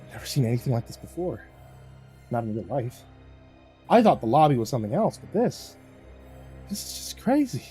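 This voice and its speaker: A man's voice: medium pitch, normal speed, mellow, faint music behind, lightly acted. Man playing an awkward, hesitant young man. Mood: awestruck. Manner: hesitant, earnest. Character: awkward, awestruck young man, easily cowed.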